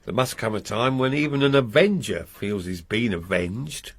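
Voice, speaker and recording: A man playing a genial, gravelly husband. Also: mid-range voice, normal speed, dry recording, lightly acted. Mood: chatty. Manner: genial, self-important. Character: middle-aged husband, homely and fond of gossip. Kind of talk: radio drama acting.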